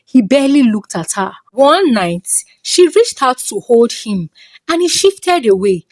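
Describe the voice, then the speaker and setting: Expressive Nigerian woman storyteller, high-pitched voice. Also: unhurried, no room sound. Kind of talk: scripted folktale narration.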